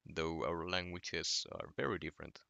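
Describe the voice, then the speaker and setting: A man's voice: low-pitched, normal speed, gravelly, dry recording, dull audio. A young man from Finland with a Finnish accent, chatting casually on a late-night gaming stream while answering his chat. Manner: relaxed, reading chat aloud and answering.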